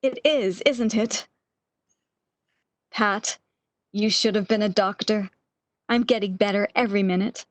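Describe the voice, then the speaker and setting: A woman's voice: medium pitch, a little slow, pauses often, no room sound, close to an everyday voice. A woman playing a bedridden, lovesick woman in a radio romance, with breathless, emotional, old-fashioned melodramatic delivery. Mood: wistful. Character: bedridden lovesick woman, wistful, eager, longing for lost love.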